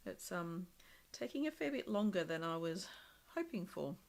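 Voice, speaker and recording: A woman with a slightly croaky voice from a cold, casually demonstrating a craft project live and thinking aloud as she works. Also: lowish voice, big pitch swings, moderate pace. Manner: chatty, thinking aloud with many ums and small laughs.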